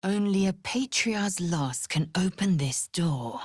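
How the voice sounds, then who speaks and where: A woman playing a proud, fierce githyanki warrior in a video game, with a husky, raspy voice and a clipped, formal, commanding way of talking. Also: lowish voice, expressive, slow, darkish tone, no room sound, clean studio sound, full character voice. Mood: stern, resolute. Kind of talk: video game character voice lines.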